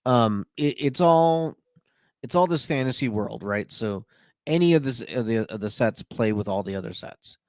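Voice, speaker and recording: A man's voice: mid-range voice, lively intonation, normal speed, dry recording. A man co-hosting a podcast in casual chat, eagerly explaining how a card game works. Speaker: podcast co-host.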